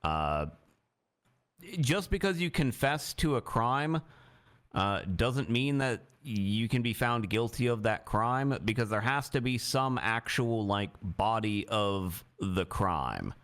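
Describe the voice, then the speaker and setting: A man improvising as a judge in roleplay, explaining legal points in a measured, stop-and-start way. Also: mid-range voice, expressive, fairly bright tone. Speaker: judge in a live roleplay courtroom.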